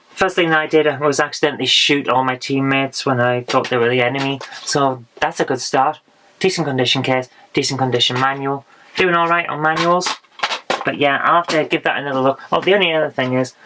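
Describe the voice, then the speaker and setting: A woman, hoarse from losing her voice, chatting frankly through a haul of retro games with dry asides. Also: a bass voice, background noise. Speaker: retro game vlogger. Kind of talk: casual game haul review.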